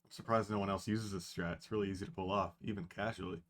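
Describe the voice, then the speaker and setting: A man voicing a calm male speedrunner who explains game strategy in a matter-of-fact guide tone. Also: low-pitched, fast talker. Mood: explanatory. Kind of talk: fan reading over voice call.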